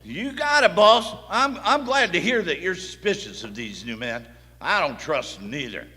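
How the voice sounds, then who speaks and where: A man's voice: fairly high pitch, big pitch swings, moderate pace, pushed and bright, breathy edge, full character voice. A man playing a plain-spoken, wary cowboy in a live Western radio play, with folksy talk in an echoey hall. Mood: eager, wary. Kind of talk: live Old West radio play acting.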